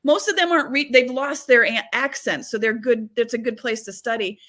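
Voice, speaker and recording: A woman from Massachusetts, a psychic medium guesting on a talk show, telling stories in a lively, animated way and laughing often, with no regional accent left. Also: a little high, brisk. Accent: none, lost her Boston-area accent. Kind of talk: casual interview chat.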